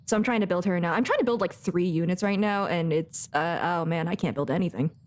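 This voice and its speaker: A woman's voice: fairly low pitch, quick pace. A woman streaming a video game, chatting casually in a playful, giggly, rambling way. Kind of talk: casual livestream chat while playing a game.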